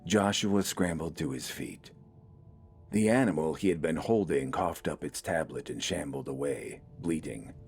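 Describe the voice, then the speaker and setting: A man narrates a piece of fiction in a deep, low register. The delivery is scripted storytelling for an audio story. Faint music runs underneath the voice. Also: a little slow, darkish tone.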